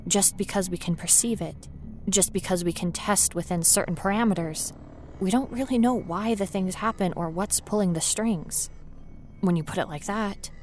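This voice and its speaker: A woman's voice: fairly low pitch, darkish tone, natural voice. A woman playing a nervous, wry paranormal investigator in an acted first-person horror audio drama, talking in a conversational, self-aware way. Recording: noisy ambient sound bed behind voice.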